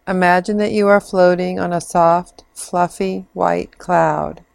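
A woman guides a meditation in a soothing, measured way. Her voice sits slightly low and moves very slowly, with long pauses. The recording is close and dry. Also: gravelly, a little mellow, no echo. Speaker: meditation guide, clinical social worker.

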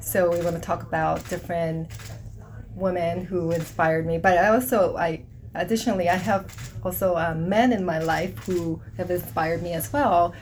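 Middle-aged woman army officer from south korea, kinda low voice, earnest, pauses to find words. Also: fairly even intonation, a little mellow, a little hiss or hum. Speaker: army hospital deputy commander. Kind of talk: reflective interview answer.

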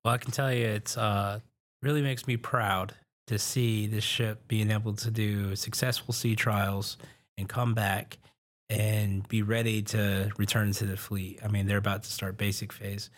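A man answering interview questions about ship maintenance, speaking haltingly with frequent restarts. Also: on the low side, level tone.

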